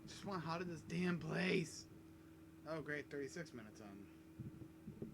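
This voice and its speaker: A man's voice: fairly high pitch, expressive, slow, gravelly, noisy background. A man giving casual, rambling let's-play commentary, swearing and mocking himself, between coughing fits at his desk mic. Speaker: gaming YouTuber.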